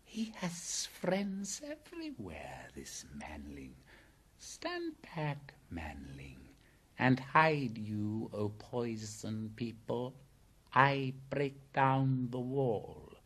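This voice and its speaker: A man voicing a great old male python, commanding and menacing, with a slow, whispery hiss and archaic speech, in a storybook reading. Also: mid-range voice, wildly swooping pitch, fairly bright tone, husky, pitched above the performer's own voice, heavily acted. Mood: amused, sly. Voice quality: hissing, whispery.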